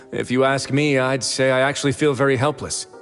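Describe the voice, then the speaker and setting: A man voicing a calm, imposing duke who rules a prison fortress in a video game, speaking in a measured, dryly ironic way. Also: mid-range voice, faint music behind, close to an everyday voice. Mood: somber, reflective.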